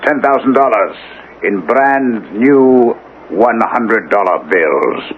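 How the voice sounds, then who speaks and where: A man's voice: lowish voice, lively intonation, slow pace. An actor playing a cryptic, coolly insolent man in a wheelchair, curt and sardonic, in a radio drama. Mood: sly, mocking. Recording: muffled, noisy old radio broadcast.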